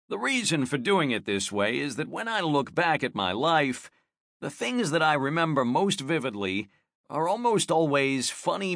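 A man's voice: fairly high pitch, a bit bright, dry recording. A man reading a first-person memoir aloud in a wry, self-mocking storytelling manner, close to a studio mic. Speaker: audiobook narrator.

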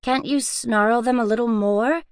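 A woman's voice: fairly high pitch, a little slow, clear, resonant tone, no echo, clean studio sound, pitched above the performer's own voice, close to an everyday voice. A woman doing a sulky, impatient young girl. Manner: impatient, sharp. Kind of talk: audiobook character reading.

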